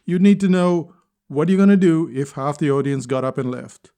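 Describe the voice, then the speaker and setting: A man calmly delivering a reflective spoken essay, telling a personal story at a measured pace with deliberate pauses. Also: on the high side, smooth. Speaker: speaker and consultant.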